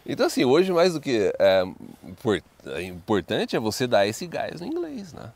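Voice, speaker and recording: A man's voice: mid-range voice, huge pitch swings, normal speed, creaky, a little mellow. A Brazilian man co-hosting a casual advice chat outdoors, rambling and often echoing and agreeing. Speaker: video co-host and study-abroad adviser. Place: outdoors in a wooded residential neighbourhood.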